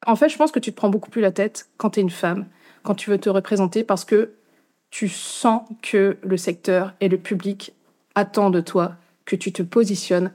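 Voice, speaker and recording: A woman's voice: medium pitch, a little mellow. A woman musician being interviewed, speaking thoughtfully and hesitantly with many fillers. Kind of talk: casual interview talk.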